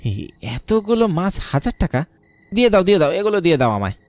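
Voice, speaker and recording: A man's voice: sits high, big pitch swings, fast, a little mellow, a little hiss or hum. A man playing an anxious, chatty village husband with a fussy, questioning manner in a horror cartoon. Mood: surprised, eager.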